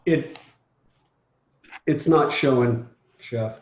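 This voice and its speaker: A man's voice: medium pitch, drawn-out words. A man joining a meeting, making short practical remarks about the shared screen. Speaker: meeting participant. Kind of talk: casual chat. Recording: roomy, muffled recording.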